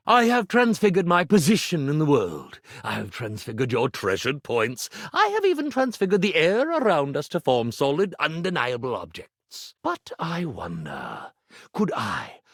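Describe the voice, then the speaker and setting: A man's voice: medium pitch, no room sound, heavily acted. A vain, flamboyant male stage magician for a video game. His delivery swoops extravagantly up and down with grandiose showmanship. The sound is close and dry, like a studio take. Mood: hypnotic. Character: vain, theatrical male stage magician, a living clothes iron. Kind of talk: theatrical video game character acting.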